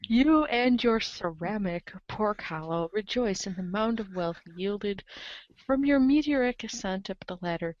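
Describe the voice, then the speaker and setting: A woman's voice: mid-range voice, no room sound. A woman narrating a scripted reader's theater show in the second person, with a theatrical, wry delivery. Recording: dry, dull lo-fi recording.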